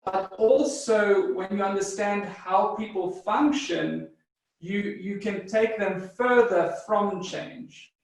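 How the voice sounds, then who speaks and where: A South African man whose mother tongue is German speaks slowly and thoughtfully, pausing on fillers as he works through his answers. His voice sits high, and it comes from far away in a strongly echoing room. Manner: measured, thoughtful, with frequent fillers and restarts. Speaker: guest psychologist. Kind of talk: interview answers. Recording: distant mic in a very echoey room.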